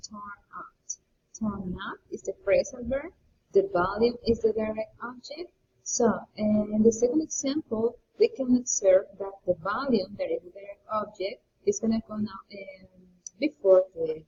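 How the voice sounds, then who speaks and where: A woman's voice: medium pitch, mellow, a little hiss or hum. A young woman teaching an English grammar lesson, explaining and repeating key points on a muffled webcam recording from a distance. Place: indoor room, screencast with webcam.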